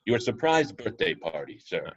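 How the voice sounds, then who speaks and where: A man performing a loyal butler, low in pitch and dryly witty. He is courteous and measured, reading a superhero film script on a dull home recording. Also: sing-song. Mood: dry, polite. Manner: polite, wry, measured.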